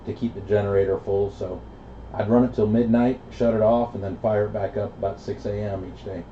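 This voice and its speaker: A middle-aged American man chatting casually over CB radio in a laid-back, halting way. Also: lowish voice, fairly even intonation, dark tone. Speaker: amateur radio operator. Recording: echoey, muffled, noisy CB radio reception.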